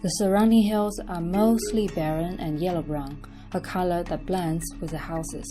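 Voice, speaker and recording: A woman giving scripted travel narration in an even, informative tone. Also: on the low side, moderate pace, over music.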